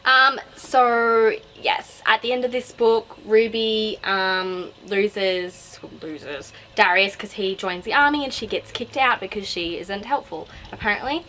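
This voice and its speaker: A woman's voice: medium pitch, a little slow, hardly any pauses, pushed and bright, a little hiss or hum, poor audio. A young woman with an Australian accent, talking casually and digressively to camera as a book review vlogger. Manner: rambling, digressive, self-interrupting.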